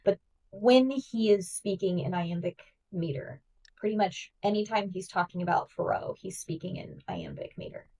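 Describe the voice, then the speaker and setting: A woman's voice: mid-range voice, moderate pace, dark tone, recorded from a distance, low-quality recording. A woman guest-hosting a podcast, talking through ideas in an eager, analytical way with lots of fillers. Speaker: guest host. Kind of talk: casual podcast discussion.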